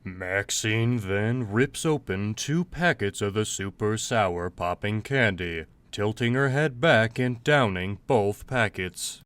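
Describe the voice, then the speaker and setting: A man's voice: on the low side, slow pace, hardly any pauses, heavy background noise. A man reading scripted narration for a comedy audio drama.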